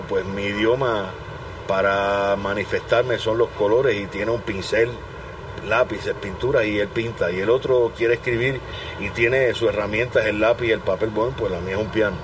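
A man from Cuba, a pianist, giving thoughtful interview answers with pauses and restarts. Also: on the low side. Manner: thoughtful, pausing, restarts words. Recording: distant mic with background noise.

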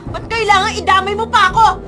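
A woman's voice: very high voice, little pitch movement, fast talker, over music. A woman playing a frightened, defiant young hostage in a radio drama. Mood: pleading, tearful.

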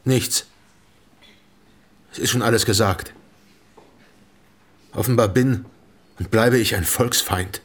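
An actor playing a weary, embittered old shepherd in a radio drama, terse and heavy, with a gravelly, husky voice. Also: male voice, on the low side, slow pace, pauses often. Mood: resigned, defiant. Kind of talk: German radio drama acting.

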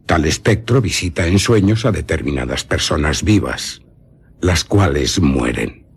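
An old nobleman with a badly rasping, deep voice. His Castilian Spanish rises and falls dramatically as he unfolds vampire lore like a learned, eccentric scholar. It is mysterious radio drama, deliberate and full of pauses. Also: animated, heavily acted. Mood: grave, instructive. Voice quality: very husky, raspy.

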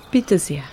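An actress playing a calm, teasing jazz singer in a naturalistic radio drama. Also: female voice, lowish voice, background noise. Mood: teasing, tender.

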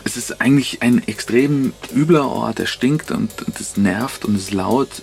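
A man in a reflective, halting monologue, searching for words and repeating himself. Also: mid-range voice, gravelly, faint music behind.

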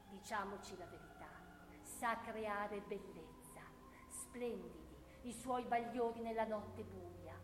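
An actress playing a sardonic orator who delivers a poetic theatrical monologue in a declamatory, ironic way, with deliberate pauses. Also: female voice, a little high. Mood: ominous awe. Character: sardonic woman orator grandly praising hatred. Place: live radio theatre performance in a hall. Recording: echoey theatre hall, noisy distant recording.

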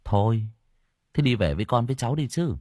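A man's voice: mid-range voice, lively intonation, fairly quick, darkish tone, no echo, dull audio, close to an everyday voice. A man voicing a blunt, bossy young spirit medium who scolds casually in a story. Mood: brisk, bossy.

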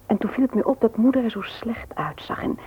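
An actress playing an earnest, gently persuasive married woman in a radio play, often hesitant and soft. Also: a little high, lively intonation, fairly quick, husky, in a whisper, muffled recording. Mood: hesitant, hopeful. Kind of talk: Dutch radio play acting.

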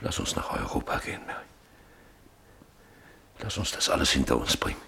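A man acting in a German radio drama, playing a stubborn, guilt-ridden middle-aged landowner who broods haltingly and repeats his phrases. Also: pushed and bright, lightly acted. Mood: resigned, resolute.

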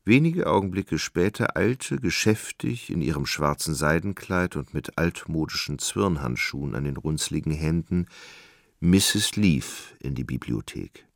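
A man narrating a literary radio drama in a measured, solemn manner. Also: very deep voice, animated, gravelly, no echo, clean studio sound.

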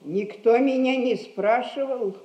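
An actress playing a stern, rich old grandmother who rules a merchant household, speaking in measured, folksy old-fashioned phrases in a stage comedy. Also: a little high, dark tone, low-quality recording. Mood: imperious, unhurried. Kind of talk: Russian stage comedy recorded as radio play.

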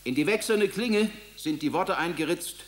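A man's voice: sits high, lively intonation, moderate pace. An actor in a radio drama playing a sober man who describes evidence in a matter-of-fact way.

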